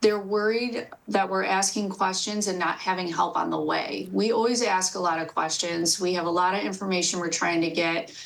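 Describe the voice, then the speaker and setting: A woman's voice: lowish voice, steady tone, normal speed, gravelly, hardly any pauses, slight background noise, poor audio. An American woman who works as a police dispatcher, explaining things as she answers interview questions.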